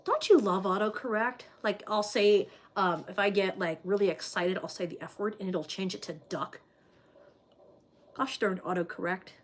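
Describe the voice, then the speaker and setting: A woman's voice: mid-range voice, moderate pace. A woman hosting a casual livestream, chatting loosely with viewers and reading their comments, with plenty of "like" and "um" fillers.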